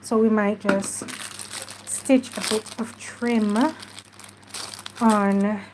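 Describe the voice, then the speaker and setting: A woman's voice: mid-range voice, flat delivery, background noise. A woman with a stuffy nose, chatting casually through a craft tutorial while she works. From Jamaica. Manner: chatty, unhurried, talks while working. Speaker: craft journaling host.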